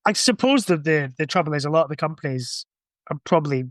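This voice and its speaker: A man's voice: fairly high pitch, lively intonation, quick pace, no echo, clean studio sound. A man in casual podcast conversation, thinking aloud in a rambling way. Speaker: podcast guest or co-host. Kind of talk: casual chat.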